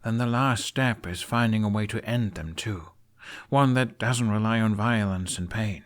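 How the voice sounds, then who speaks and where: A man's voice: fairly low pitch, moderate pace, natural voice. A man voicing an idealistic, stubborn young prince in an audiobook. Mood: stubborn, hopeful.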